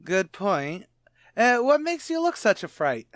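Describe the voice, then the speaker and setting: An actor playing a brash, wisecracking tavern manager who mixes street slang with pompous words in old-time radio comedy. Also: male voice, sits high, sing-song, a little slow, fairly bright tone, dry recording, studio quality, exaggerated voice. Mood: breezy, joking. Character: brash wisecracking tavern manager man, mock-genteel malapropist. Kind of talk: 1940s radio comedy acting.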